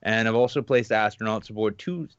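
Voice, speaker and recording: A man hosting a podcast with casual, comedic news commentary in an irreverent, sarcastic, animated manner. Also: on the low side, brisk, smooth, dry recording, lo-fi sound. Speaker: podcast host.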